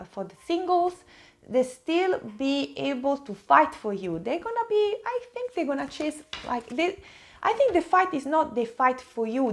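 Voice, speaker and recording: High-voiced Italian woman reading tarot. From Italy. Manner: halting word searching, repeats phrases for emphasis. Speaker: tarot reader, host. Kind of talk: improvised tarot card reading addressed to viewers.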